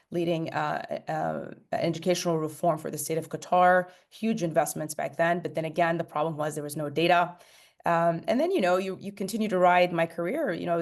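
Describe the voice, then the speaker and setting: Woman, late forties, from Egypt, low voice, podcast guest, fluent with many fillers. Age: late 40s. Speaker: startup CEO guest. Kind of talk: podcast interview.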